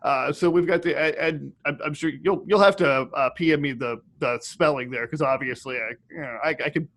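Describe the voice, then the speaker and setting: Higher-pitched man hosting a casual podcast. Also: fairly quick, fairly bright tone. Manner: easygoing, stammering repetitions. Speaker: podcast host. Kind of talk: casual interview chat.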